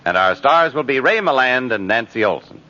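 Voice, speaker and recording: A man's voice: mid-range voice, lively intonation, normal speed, pushed and bright, no echo. An American man doing polished, formal 1950s radio announcing. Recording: muffled vintage radio recording.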